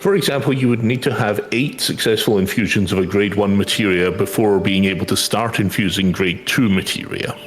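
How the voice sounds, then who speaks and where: A man's voice: lowish voice, fairly quick, hardly any pauses. A man chatting casually and reading game text aloud during a gaming session.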